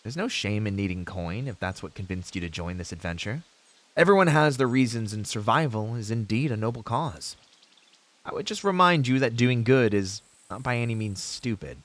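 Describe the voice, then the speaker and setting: Novice fantasy hero, played by a man: young, male, slightly low pitch, expressive melody, earnest and idealistic, gallant wording with hesitant asides, audio roleplay. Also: moderate pace. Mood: rousing, reassuring. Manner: formal, heroic storybook phrasing with hesitant asides. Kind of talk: fantasy audio roleplay acting, speaking to a companion.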